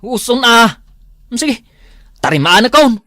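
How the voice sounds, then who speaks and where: Slow-talking sheepish husband, played by a man. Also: very high-pitched, lively intonation, sharp tone, no room sound, studio quality, full character voice. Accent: northern Philippine. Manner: hesitant, evasive, placating. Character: sheepish, lazy, hard-drinking husband with excuses. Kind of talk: comedy drama acting.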